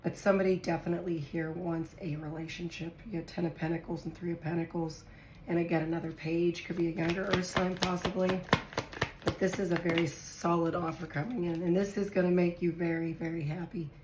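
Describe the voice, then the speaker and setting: A woman with a hoarse, raspy voice, lower than most, chatting as she lays out tarot cards for her viewers. She thinks aloud as she draws each card. The room sounds echoey, with a faint hiss behind her. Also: little pitch movement, brisk, darkish tone. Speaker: tarot reader. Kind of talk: casual tarot reading to viewers.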